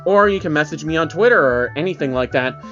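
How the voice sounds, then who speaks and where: Male narrator for amateur horror-fiction readings, slightly high voice, music underneath. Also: expressive, fast talker, sharp tone, highs cut off. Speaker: narrator, channel host reading fan stories. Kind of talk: dramatic reading of a first-person creepypasta.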